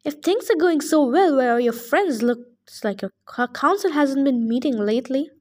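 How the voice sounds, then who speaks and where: A blunt, wry teenage boy , voiced by a woman narrator, speaks plainly in a storybook reading. The voice sits high. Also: animated, normal speed, darkish tone.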